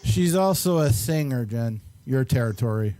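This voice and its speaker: An older man hosts an informal chat in a medium-pitched voice. His talk is casual and conversational. Faint noise sits behind him. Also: expressive, moderate pace, mellow. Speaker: host.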